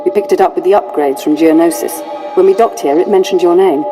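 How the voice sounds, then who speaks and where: An actress playing a brisk, dutiful military lieutenant in a video game, with a formal, clipped manner. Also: female voice, lowish voice, over music, close to an everyday voice. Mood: courteous, formal. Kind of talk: video game character acting.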